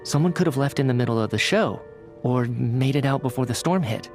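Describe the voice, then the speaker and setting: A man's voice: medium pitch, fairly quick, darkish tone, faint music behind, close to an everyday voice. An actor playing an earnest, courteous young man in a video game, articulate and reasoning things out aloud. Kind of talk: video game cutscene acting.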